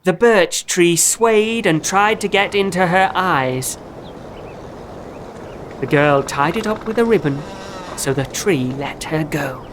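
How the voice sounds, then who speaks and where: A woman's voice: fairly low pitch, slow pace, a little hiss or hum, poor audio. A woman storyteller reading a scripted children's fairy tale as narrator.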